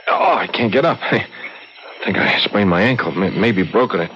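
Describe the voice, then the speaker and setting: A man playing a dazed, trusting, injured brother, halting with effort, in an old-time radio drama. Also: lowish voice, close to an everyday voice. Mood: dazed, pained. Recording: dull, slightly noisy old radio recording.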